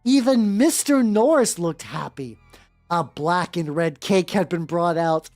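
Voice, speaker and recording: A woman's voice: on the low side, expressive, unhurried, darkish tone. A woman streamer with a playful, joking manner, chatting casually and reading fanfiction aloud for comic effect. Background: background music.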